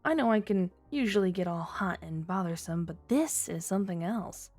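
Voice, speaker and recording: A woman's voice: fairly low pitch, normal speed, darkish tone, soft background music, lightly acted. A woman playing a flirty, scheming underworld informant in an ASMR audio drama, with a teasing, coy, mock-sweet delivery. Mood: bargaining, commanding.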